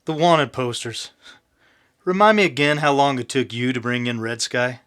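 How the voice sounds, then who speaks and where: An actor playing a confident, smooth-talking Old West gambler in a radio western, persuasive and a little needling. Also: male voice, medium pitch, moderate pace, fairly bright tone, lightly acted.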